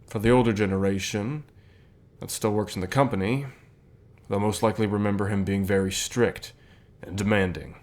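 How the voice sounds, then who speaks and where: A man playing a calm, reassuring company boss with gentle authority, speaking softly in measured phrases for an ASMR roleplay. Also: fairly low pitch, close to an everyday voice. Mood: patient, explaining. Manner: measured, soft-spoken, deliberate pauses. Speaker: voice actor in an audio roleplay.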